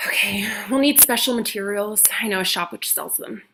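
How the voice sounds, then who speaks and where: A woman's voice: mid-range voice, normal speed, pushed and bright, a little hiss or hum, rough recording. A woman playing a stern, mysterious guardian of a shapeshifter, solemn and commanding, in a comedy horror reading. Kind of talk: comedic horror script table read.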